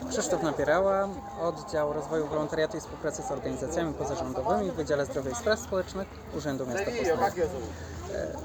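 A man giving a spontaneous interview answer in halting, repetitive, careful official phrasing. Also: a little high. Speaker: city office representative, interviewee. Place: busy outdoor fair. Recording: outdoor event with crowd noise.

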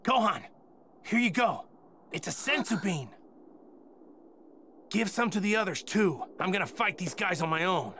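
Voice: mid-range voice, lively intonation, unhurried, long pauses, slight background noise. An actor playing an earnest, confident, heroic male martial artist in an anime game, with a bold delivery. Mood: kind, determined. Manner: earnest, bold, shouting battle cries.

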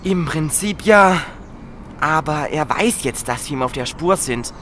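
A woman playing a bossy, sceptical young boy detective in an audio drama, brisk and reproachful. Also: low-pitched, animated, a bit bright, a little hiss or hum.

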